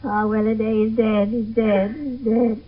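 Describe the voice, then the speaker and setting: A woman acts out an old nurse in a radio Shakespeare play, garrulous and faithful, lamenting in repeated, drawn-out phrases. Her fairly high voice comes through a narrow, tinny old broadcast with clear hiss and noise. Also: little pitch movement, lightly acted. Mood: wailing grief. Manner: wailing, repetitive, drawn-out lamenting. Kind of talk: 1970s radio Shakespeare acting.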